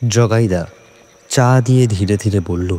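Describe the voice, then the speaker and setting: A man narrating a scripted horror story in suspenseful, measured phrases. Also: fairly low pitch, warm tone. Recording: faint music behind.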